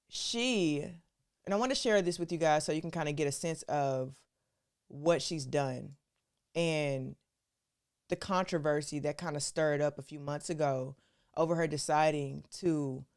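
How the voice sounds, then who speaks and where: A woman's voice: sits low, slow, pauses often, darkish tone, clear, resonant tone. A woman hosting a live stream, talking to her viewers in a casual, chatty way and calling them y'all. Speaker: show host.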